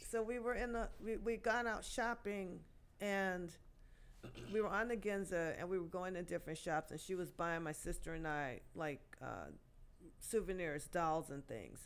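A woman in her sixties, a guest poet, telling her life story in a podcast interview with many pauses and restarts. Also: on the low side. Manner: halting, many fillers and restarts.

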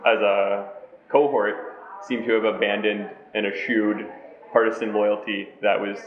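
A man's voice: fairly low pitch, level tone, measured pace. A young adult man hosting a casual interview, chatty and easygoing. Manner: casual, chatty, laughs easily. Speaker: host. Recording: tinny phone-like sound in an echoey room.

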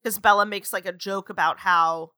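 Young woman co-host, bright and forceful, dry close sound, lively casual storyteller. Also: medium pitch, moderate pace, no room sound. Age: 20s. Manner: lively storyteller, leads the discussion. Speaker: podcast co-host. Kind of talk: casual podcast chat.

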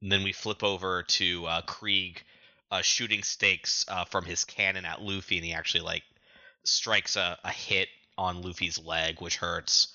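Male podcast co-host. Deep, sharp-edged voice, stop-start casual storytelling, slightly lo-fi sound. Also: little pitch movement, unhurried, no room sound. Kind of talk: casual recap of manga chapters.

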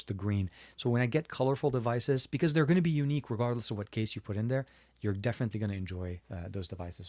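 A man from Lebanon hosts a relaxed live tech stream and works through chat questions one by one. He talks in a loose, chatty way, full of ums and restarts, at a middle pitch. The sound is dry and close, with no room echo. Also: gravelly. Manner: chatty, answers viewer chat with fillers and restarts. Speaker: tech livestream host. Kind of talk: casual live Q&A.

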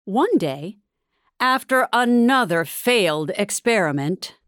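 Slow, expressive woman storyteller. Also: medium pitch, smooth, soft background music. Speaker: narrator. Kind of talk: scripted storybook narration.

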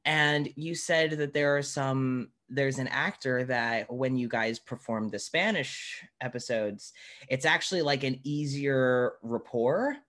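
A man's voice: mid-range voice, a little slow, a bit bright. A man hosting a podcast interview, chatting informally and with enthusiasm. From United States. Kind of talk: casual chat.

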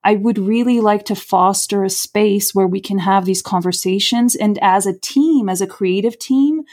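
A woman's voice: medium pitch, normal speed, darkish tone. A woman playwright as a podcast guest, talking in an earnest, reflective way and repeating words for emphasis. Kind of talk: podcast interview.

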